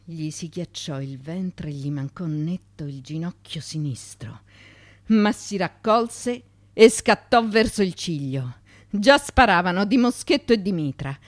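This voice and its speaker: A woman reading literary fiction as radio theatre, with intense, theatrical narration and deliberate phrasing. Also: fairly low pitch, expressive, no echo. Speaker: actress reading a novel.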